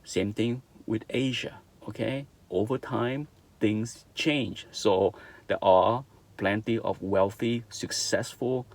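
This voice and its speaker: A man's voice: on the low side, steady tone, slow, a little mellow. A middle-aged man from Vietnam with a Vietnamese accent, giving an earnest opinion monologue, repeating his points and checking in with "okay?". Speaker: vlogger.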